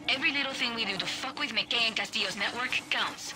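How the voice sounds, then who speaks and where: A woman's voice: on the high side, fast, noisy background, natural voice. An actress voicing a dry-witted female rebel ally who guides the player over the radio in a wry, teasing manner. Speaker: radio contact guiding the player. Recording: game audio with loud music.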